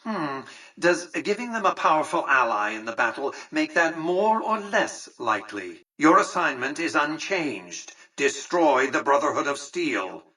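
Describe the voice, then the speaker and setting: Casting: older tycoon-ruler for a game, condescending and calculating, crisp forceful edge over a husky grain, slightly off-mic. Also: male voice, a little high, big pitch swings, unhurried, far from the mic, heavily acted, poor audio. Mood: dry, sardonic, then curt, impatient. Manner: pompous, erudite, precise, dryly sardonic. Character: imperious, calculating tycoon ruler of a casino city, condescending and dry. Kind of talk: video game character dialogue.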